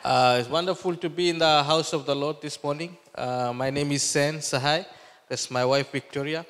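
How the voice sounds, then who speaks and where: A man's voice: fairly high pitch, fairly even intonation, normal speed, a bit bright. A man in his thirties from Fiji, a visiting Bible school leader, giving a testimony and then praying fervently before a congregation. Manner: earnest, fervent prayer. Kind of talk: testimony and closing prayer. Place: church hall, standing before the congregation. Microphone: handheld microphone. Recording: long hall reverb.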